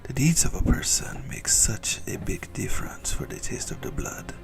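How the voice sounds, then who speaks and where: A man playing a possessive, doting ancient vampire in a breathy, whispered ASMR roleplay, teasing and intimate as he savors his words. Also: on the low side, sing-song, moderate pace, music in the background. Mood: relishing, intimate. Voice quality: breathy whisper with gravelly creak.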